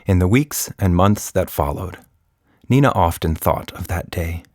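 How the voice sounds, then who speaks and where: A man's voice: low voice, unhurried, darkish tone, dry recording, studio quality. A man narrating a scripted children's story as a podcast storyteller.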